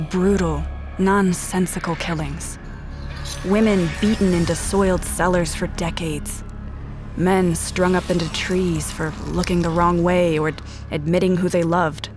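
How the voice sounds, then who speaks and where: An actress playing a blunt, distrustful special agent in a noir audio drama, narrating and confronting in terse lines. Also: female voice, fairly low pitch, a little mellow. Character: hard-boiled, distrustful female special agent. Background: background music.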